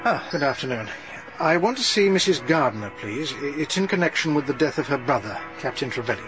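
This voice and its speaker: An actor playing a polite, measured police detective in a radio drama, asking careful questions. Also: male voice, mid-range voice, expressive, over music, natural voice, low-quality recording. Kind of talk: 1980s BBC radio drama acting.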